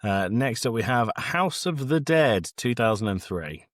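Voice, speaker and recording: A man's voice: medium pitch, lively intonation, a little slow, no echo. British guy doing a podcast quiz, up and down intonation, close dry audio. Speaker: podcast co-host running a quiz. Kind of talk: casual quiz hosting.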